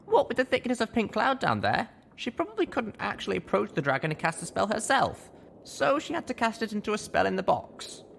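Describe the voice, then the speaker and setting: A man's voice: high voice, wildly swooping pitch, a little fast, gravelly, fairly bright tone, a little hiss or hum, voice pushed up, heavily acted. A man voicing an earnest, exasperated young female pony in an audiobook, with an emphatic, incredulous manner. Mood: pondering. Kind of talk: acted audiobook dialogue.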